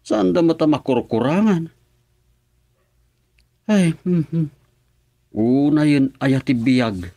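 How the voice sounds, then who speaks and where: A man's voice: on the high side, slow pace, pauses often, dark tone. A man playing an easygoing husband who teases his wife and mutters to himself. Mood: musing. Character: husband Doming, easygoing and teasing. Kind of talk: radio drama acting.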